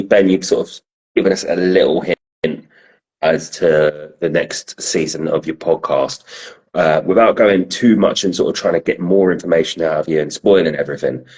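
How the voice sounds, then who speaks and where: A man hosts a casual podcast chat. He tells animated stories and swears freely as he goes. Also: extremely low pitch, rough recording. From South London. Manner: lively storyteller, swears freely. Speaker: podcast host.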